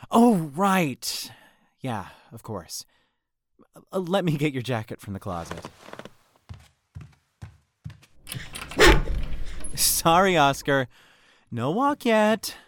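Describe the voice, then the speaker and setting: A man's voice: on the high side, big pitch swings, takes it slowly, long pauses, noisy background. An actor playing an earnest, insecure young man in an audio drama, speaking hesitantly and wearing his heart on his sleeve. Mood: deflated. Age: young adult.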